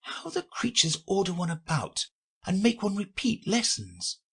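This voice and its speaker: A man voicing a polite, curious young girl who speaks with a timid, wondering manner and a husky edge. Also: high-pitched, huge pitch swings, a little slow, pushed and bright, pitched above the performer's own voice, exaggerated voice.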